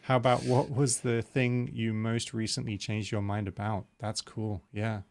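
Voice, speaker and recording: A man's voice: lowish voice, little pitch movement, moderate pace, darkish tone, a little hiss or hum. A man hosting a casual livestream chat. He reads out viewer comments and laughs easily, and he often repeats words as he thinks aloud. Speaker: podcast host.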